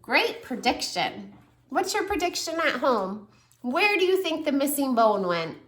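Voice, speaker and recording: A woman teacher reading a picture book aloud to a small child, lively and encouraging. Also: sits high, a little slow, roomy sound. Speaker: preschool teacher. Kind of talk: children's read-aloud lesson.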